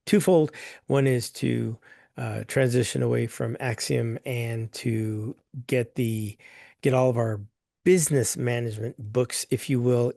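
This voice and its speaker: A hoarse man chairing a board meeting, talking plainly with many fillers and restarts. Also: mid-range voice, a little mellow, no room sound, clean studio sound. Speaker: governing council chair.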